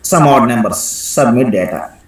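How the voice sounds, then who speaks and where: A man teaching a live software tutorial step by step, instructing and often checking in with a questioning "right?". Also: mid-range voice, animated, a little slow, a little hiss or hum. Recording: echoey room sound.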